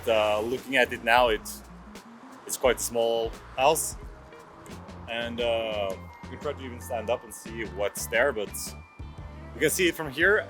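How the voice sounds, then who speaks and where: A man in his thirties from France, narrating a travel vlog in a casual, halting way. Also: mid-range voice. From France, moved to Norway at six. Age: 30s. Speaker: vlogger.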